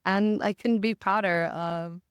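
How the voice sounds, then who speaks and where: A woman from Botswana giving reflective, articulate and positive interview answers in a measured way as a podcast guest. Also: mid-range voice, steady tone, smooth, no echo. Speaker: podcast guest, marketing director.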